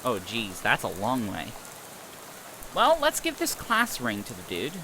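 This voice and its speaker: A man's voice: mid-range voice, wildly swooping pitch, a little slow, background noise. A man streaming a game, reading its dialogue aloud in a wry, playful way.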